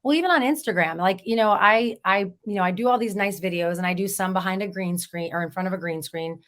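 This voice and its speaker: A hoarse woman, a real estate agent, chatting in an interview and telling stories with easy laughter. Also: medium pitch, quick pace, fairly bright tone. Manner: chatty, storytelling, laughs easily. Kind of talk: casual interview chat.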